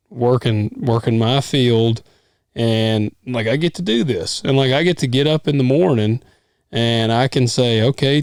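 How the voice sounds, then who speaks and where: A man's voice: medium pitch, a little mellow, clear, resonant tone, dry recording, clean studio sound. A man in his thirties, a farmer co-hosting a podcast, talking in an easygoing, rambling way with casual swearing. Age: 30s. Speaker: podcast co-host. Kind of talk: casual chat.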